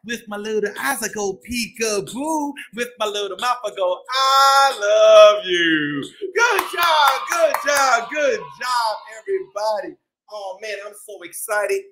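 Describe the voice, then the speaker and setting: A man's voice: high-pitched, animated, a little slow, sharp tone, a little hiss or hum. A man in his thirties reading aloud to small children in an exuberant, theatrical way, often calling out to his young listeners. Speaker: children's storytime host. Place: small indoor room. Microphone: desk microphone in front.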